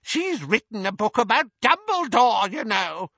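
Storybook casting: ancient witch great-aunt played by a man, screechy high voice, blunt, tipsy, gleefully malicious gossip, wildly swooping delivery. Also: sing-song, measured pace, bright, forceful tone, breathy edge, no room sound, highs cut off, full character voice. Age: over 100. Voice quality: shrill, cackling, screechy.

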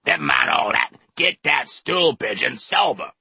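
A man voicing a bossy male bar owner who hollers in rough dialect. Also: medium pitch, wildly swooping pitch, a little slow, dry recording, voice pushed up, exaggerated voice. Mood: hollering. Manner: shouting, rough dialect speech.

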